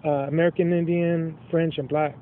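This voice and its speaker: A man's voice: on the high side, level tone, moderate pace, a little hiss or hum. A man from Los Angeles, a rapper guest talking candidly in a casual interview, streetwise and swearing freely. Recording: tinny phone-like line.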